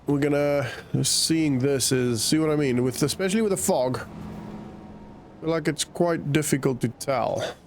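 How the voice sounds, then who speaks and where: A man with a croaky, nasal voice from a cold, chatting casually as he plays a farming game and thinks aloud. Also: a little high, a little mellow, a little hiss or hum. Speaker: gaming channel host. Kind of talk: casual gameplay commentary.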